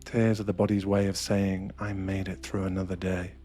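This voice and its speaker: Male meditation guide, lowish pitch, hushed and deliberate dramatic delivery, intimate ASMR narration with faint music underneath. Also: flat delivery.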